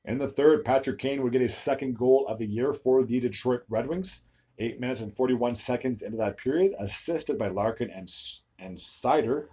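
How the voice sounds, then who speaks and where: A young man hosting a solo hockey recap video, his voice a bit raspy from recording several videos in a row, stumbling over player names and filling gaps with "uh". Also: mid-range voice, normal speed, a little mellow. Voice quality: slightly raspy, tired voice.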